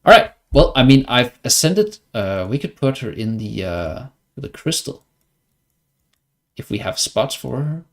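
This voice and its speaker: A man's voice: mid-range voice, sing-song, measured pace. A man from Denmark with a Danish accent, chatting casually and wryly as he comments on his livestreamed gameplay. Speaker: game streamer.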